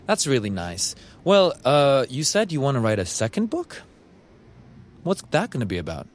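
A man's voice: mid-range voice, huge pitch swings, moderate pace. A man whose first language is Japanese, hosting a radio interview in a chatty, enthusiastic way. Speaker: radio show host. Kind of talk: conversational interview.

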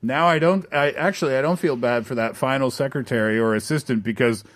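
A man's voice: medium pitch, a little fast. A man hosting a radio show, joking around and reading out listener messages in casual studio chat. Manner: jokey, reads listener messages.

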